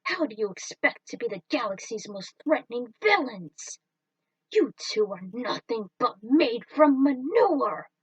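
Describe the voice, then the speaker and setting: A woman's voice: on the high side, sing-song, measured pace, no echo, pitched above the performer's own voice. A woman voicing a haughty, sneering male alien crime lord in a storybook reading, recorded from a distance. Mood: scornful.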